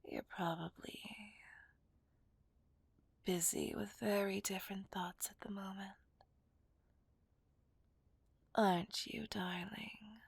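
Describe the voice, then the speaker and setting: A woman playing a seductive, menacing villain kidnapper in a whispered ASMR roleplay, breathy, teasing and possessive. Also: on the low side, a little mellow, no echo, full character voice. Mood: smug, possessive. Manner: slow, teasing, dominant.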